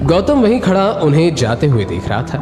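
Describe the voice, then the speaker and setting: A man's voice: on the high side, huge pitch swings, a little fast. A man giving dramatic scripted narration for an audio story. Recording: roomy echo with long reverb. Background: music.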